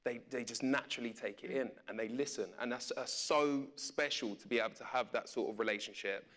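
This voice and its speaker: A man speaks in a medium-pitched voice as a radio presenter on a panel. His delivery is informal and conversational, suited to steering a relaxed discussion. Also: fairly quick. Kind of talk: casual panel chat.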